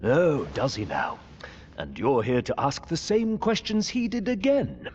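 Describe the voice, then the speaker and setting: A man's voice: fairly high pitch, wildly swooping pitch, measured pace, a little mellow. An actor playing a prickly, pedantic maester in a video game, haughty and defensive in his speech. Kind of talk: video game cutscene acting. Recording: dull audio with faint ambient noise.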